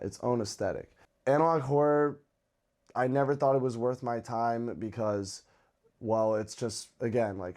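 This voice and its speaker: A man's voice: medium pitch, darkish tone. A man speaking as the host of a horror video channel, giving casual commentary in a conversational, informal way. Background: background music with guitar.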